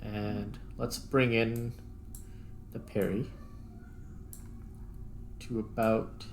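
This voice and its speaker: A man's voice: on the low side, little pitch movement, very slow, slight background noise, rough recording. A man giving casual, unscripted gameplay commentary, muttering step by step as he thinks aloud. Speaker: host playing and narrating a video game.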